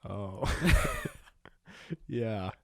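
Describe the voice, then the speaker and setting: A man's voice: lowish voice, lively intonation, drawn-out words, no echo, studio quality. Laid-back young man laughing through podcast banter. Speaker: podcast co-host.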